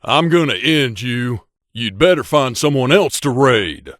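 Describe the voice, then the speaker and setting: An actor playing a loyal, wry gunslinger companion in a video game, talking casually and familiarly. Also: male voice, medium pitch, big pitch swings, a little slow, a bit bright, no room sound, clean studio sound. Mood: combative. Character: loyal wasteland gunslinger companion man, wry, devoted to his mother.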